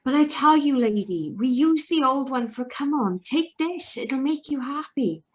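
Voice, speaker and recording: A woman playing a brisk, evasive auction house helper in a radio drama reading, with a hustling, placating manner. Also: sits high, lively intonation. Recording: live video-call recording.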